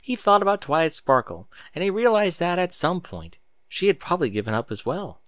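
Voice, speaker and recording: Male narrator for fan fiction: animated pitch, forward bright tone, sardonic storyteller, dry close recording. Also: a little fast, no room sound. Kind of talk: dramatic storybook narration with casual sarcastic asides.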